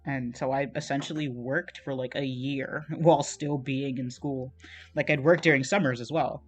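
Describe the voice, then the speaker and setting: A young man talks while he draws at his desk, his voice sitting at an ordinary middle pitch. He meanders from thought to thought in a relaxed, self-deprecating way, full of fillers and half-finished sentences. Faint music plays quietly behind him. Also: normal speed. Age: young adult. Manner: rambling and meandering, many fillers and trailing-off thoughts. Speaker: art streamer. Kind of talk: casual chat while drawing on a livestream.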